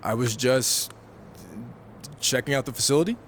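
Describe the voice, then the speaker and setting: A cocky young man played by a male actor, a wrestling rookie in a game story, speaks with sardonic self-assurance at a middling pitch. There is clear background noise under the voice. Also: moderate pace, fairly bright tone, natural voice. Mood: wry, casual. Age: young adult. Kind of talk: video game cutscene voice acting.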